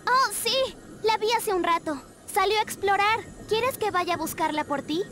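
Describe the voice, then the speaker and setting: Polite, reassuring girl mermaid for a game, extremely high pitch. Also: moderate pace, fairly bright tone. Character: helpful, upbeat young mermaid girl. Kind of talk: animated video game voice acting.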